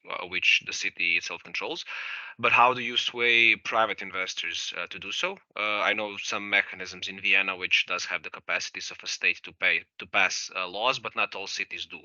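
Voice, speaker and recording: Croatian man, panel participant; measured and explanatory, crisp voice, lo-fi sound. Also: fairly low pitch, pushed and bright, dry recording. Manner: measured, explanatory, with frequent fillers. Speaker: regional energy agency participant. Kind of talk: panel discussion, asking and commenting.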